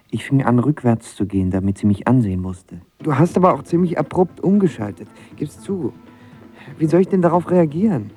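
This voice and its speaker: A man's voice: mid-range voice, warm tone, slight background noise, lightly acted. Lovesick, volatile young man played by an actor. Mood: wistful, reflective. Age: young adult. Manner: colloquial, sarcastic, self-deprecating. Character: lovesick, volatile young male actor narrating his first heartbreak. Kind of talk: audio drama acting with first-person narration.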